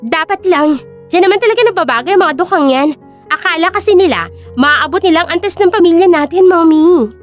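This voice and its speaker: Woman doing a bratty rich girl, high and sneery, faint music. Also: lively intonation, fairly quick. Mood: sneering. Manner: snobbish and sneering. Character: spoiled, arrogant young woman.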